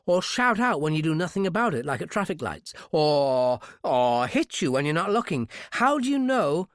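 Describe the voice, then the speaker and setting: A man voicing a frightened young woman, high and pleading. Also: very animated, moderate pace, dry recording, studio quality. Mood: defiant. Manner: pleading, persistent. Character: frightened but brave young woman hostage.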